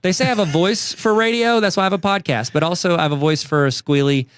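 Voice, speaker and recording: A man's voice: sits high, fast talker, smooth, no room sound, clean studio sound. A man co-hosting a podcast, recapping at length in casual chat with many restarts and quoted asides. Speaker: podcast co-host.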